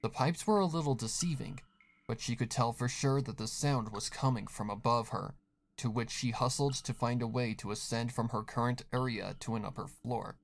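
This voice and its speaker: Man narrating a horror story, medium pitch. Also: moderate pace, gravelly. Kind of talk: scripted third-person horror story narration.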